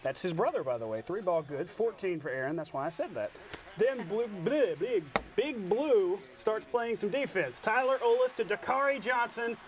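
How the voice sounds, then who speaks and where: A man with an American accent anchoring a sportscast, calling highlights with playful, jokey asides. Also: expressive, moderate pace, a little hiss or hum. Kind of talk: highlight commentary and studio banter. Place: TV studio.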